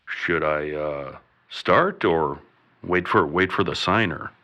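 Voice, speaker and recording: A man's voice: rumbling low, sharp tone, highs cut off, exaggerated voice. A man playing a bumbling, hesitant governor who plods through official statements in a comedic old-time radio drama. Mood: flustered. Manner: halting, plodding, official. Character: bumbling, hesitant governor making an emergency announcement.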